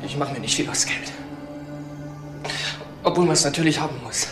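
An actor playing a talkative, philosophical young biology student in a crime drama, rambling and stammering, unfazed by an intruder. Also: male voice, a little high, normal speed, music in the background, lightly acted. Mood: flustered, conciliatory. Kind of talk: naturalistic German crime drama acting.

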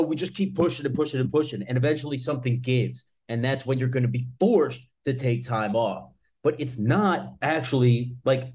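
A man's voice: mid-range voice, a little mellow. A man in his mid-thirties giving casual, blunt, emphatic advice to camera as a martial arts channel host, with informal, sweary phrasing.

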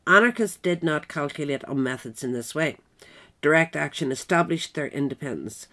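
A woman narrator reading a scripted political essay in a measured, written style. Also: deep voice, animated, fairly bright tone.

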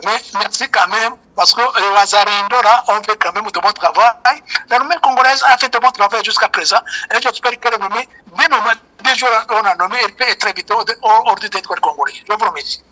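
An older man with a Rwandan accent comments on politics over a phone call. His voice is bright and forceful. He speaks emphatically and repeats his key points, as a native Kinyarwanda speaker. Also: high-pitched, brisk. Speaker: interview guest, commentator. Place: remote call, shown as a photo.

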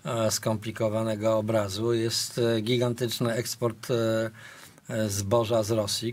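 A man speaking as a studio guest, deliberate with frequent fillers. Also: on the low side. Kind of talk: studio political discussion.

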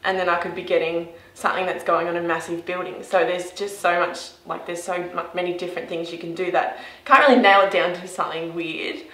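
Bright young Australian woman, echoey room. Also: lowish voice, fairly even intonation, a little fast, hardly any pauses. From rural Victoria, Australia. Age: 20s. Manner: chatty, cheerful, informal. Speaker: interviewee, graphic designer. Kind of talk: casual on-camera interview. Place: small office indoors, echoey.

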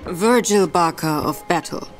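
A woman voicing a sly, affable gentleman in disguise who probes with feigned forgetfulness, in a radio play. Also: lowish voice, measured pace, faint music behind, pitched below the performer's own voice, lightly acted, poor audio. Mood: probing, sly. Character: smooth gentleman Virgil Barker, a man, sly and probing.

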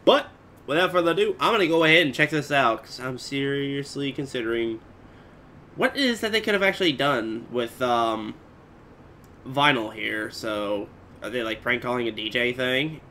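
A man's voice: medium pitch, expressive, normal speed, sharp tone. A man in his twenties giving casual reaction commentary from a room at home, in a rambling, hesitant way. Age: 20s. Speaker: reaction video host. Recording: noisy home webcam recording.

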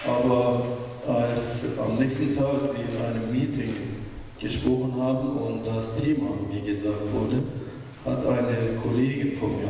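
A man's voice: medium pitch, warm tone, a little hiss or hum. A man in his forties giving a speech at a memorial event into a handheld microphone, telling a story with wry jokes while sparing a strained voice. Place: large wood-panelled hall. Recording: echoey hall recorded from far off.

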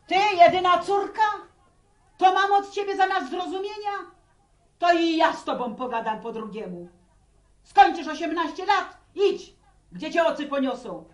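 A woman's voice: extremely high pitch, animated, normal speed. An actress playing a domineering, embittered widowed village mother in a radio serial, reproachful and melodramatic. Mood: angry, threatening. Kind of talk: Polish radio serial acting.

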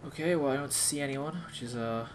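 A man's voice: medium pitch, brisk. A man giving live gameplay commentary, rambling and thinking aloud as he plays. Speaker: gaming YouTuber, player. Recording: clearly noisy, game sounds behind. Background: video game ambience.

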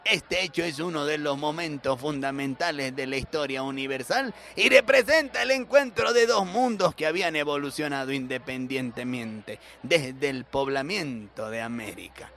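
A man's voice: on the high side, expressive, normal speed, vocal fry, hardly any pauses, pushed and bright, slight background noise, exaggerated voice. A man playing an indifferent, pedantic male schoolteacher who lectures pompously in a comic monologue. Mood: droning.

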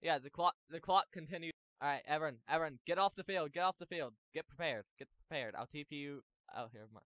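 A young man calling plays in an online football game, shouting touchdowns and then laying down the rules to the players. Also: a little high, fast talker, no room sound, muffled recording. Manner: hype announcer yelling touchdowns, then bossy about rules. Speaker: game commentator and league host. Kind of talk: excited play-by-play commentary.

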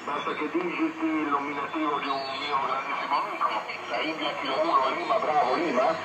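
Loud radio noise surrounds a higher-pitched man's voice. He talks as a ham operator over shortwave, spelling call signs, sounding distant through the speaker. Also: steady tone, brisk. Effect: heard over a shortwave radio. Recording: noisy echoey radio speaker, from afar.

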